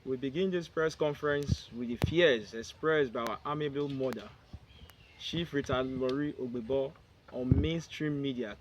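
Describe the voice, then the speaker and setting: A man with a fairly high voice reads a prepared statement at a press conference. He moves through it in short, halting phrases, sometimes stopping to restart a line. Faint noise sits under the recording. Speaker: spokesman reading a press statement.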